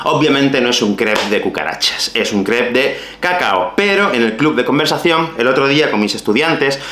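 A man in his thirties with a Spain Spanish accent, teaching Spanish vocabulary to camera in a lively, playful way. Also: mid-range voice, normal speed, roomy sound, a little hiss or hum. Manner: lively, expressive, playful asides. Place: small bright room at home.

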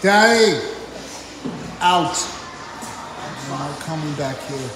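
A man's voice: a little high, animated, takes it slowly, music in the background. A man filming a casual elevator tour, announcing things in short, simple phrases. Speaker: elevator enthusiast filming a video. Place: inside an elevator and lobby indoors. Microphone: handheld phone camera. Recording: echoey small rooms, handheld phone.

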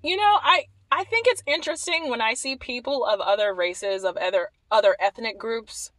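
A young woman from Maryland giving animated, wry commentary to the camera, repeating phrases for emphasis. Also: fairly high pitch, moderate pace, dry recording. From Maryland, USA. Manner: animated, wry, repeats herself when emphasizing, laughs at points. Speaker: vlogger. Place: small indoor room against a plain wall.

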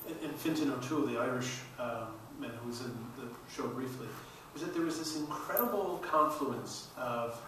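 Male documentary panelist, middle-aged, engaged and forceful, distant mic with heavy room echo. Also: medium pitch, moderate pace, hardly any pauses, a little hiss or hum. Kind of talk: explanatory panel talk. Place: large auditorium stage. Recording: very echoey hall from far off.

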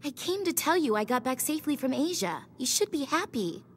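Blunt young assassin girl in a game, higher voice, very sure of herself. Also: big pitch swings, a little fast. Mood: earnest. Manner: blunt, self-assured. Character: confident, lethal young girl assassin and devoted apprentice. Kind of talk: video game cutscene acting. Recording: game audio with faint ambient noise.

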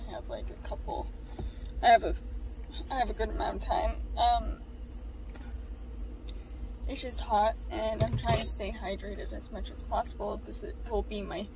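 Slow, rambling young woman in a car. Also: fairly high pitch, creaky, distant mic, background noise. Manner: rambling, hesitant with many ums. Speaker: vlogger. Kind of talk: casual vlog chat. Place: parked car. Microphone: phone camera propped low in the car.

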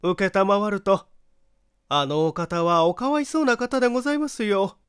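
A man's voice: high voice, normal speed, fairly bright tone, smooth, no room sound, studio quality, pitched above the performer's own voice. A man voicing a gossipy, polite and earnest neighbor housewife in a mystery audiobook. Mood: earnest pity. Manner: polite feminine speech, chatty, earnest. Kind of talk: audiobook character voice in a mystery story.

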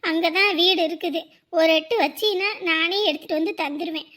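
A woman playing a gentle, caring neighbor. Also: very high-pitched, fairly quick. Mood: soothing. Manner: gentle, soothing, reassuring. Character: kind caring middle-aged neighbor woman. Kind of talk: one-person village family skit.

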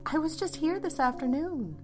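A woman's voice: sits high, very animated, moderate pace, music in the background. A woman playing a vain, oblivious older aunt in an audio horror story, airy and condescending, with sweet endearments. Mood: breezily dismissive. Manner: airy, condescending, calling people dear and sweetie.

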